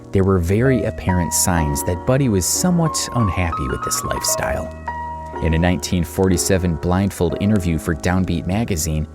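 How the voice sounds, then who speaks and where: Low-voiced man narrating a documentary over music.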